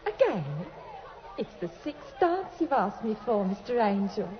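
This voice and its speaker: A woman's voice: fairly high pitch, very animated, a little slow, a little hiss or hum. An actress in an old British radio drama playing a coy, smitten young woman, fluttery and dreamy. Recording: dull old recording, ballroom music and crowd.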